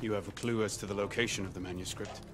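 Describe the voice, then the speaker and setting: An actor playing a weary, guarded adventurer in a video game, subdued and terse. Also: male voice, lowish voice, fairly even intonation, quick pace, very noisy, natural voice. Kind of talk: video game cutscene acting. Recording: loud music underneath.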